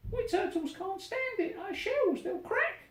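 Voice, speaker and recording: A plain, worn-out little turtle from a storybook, as a reader puts him on, groaning up from the bottom of the stack. His voice sits high and strained, and the room rings softly around him. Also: lively intonation, a little slow, heavily acted. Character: weary starving little turtle at the bottom, male. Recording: roomy echo.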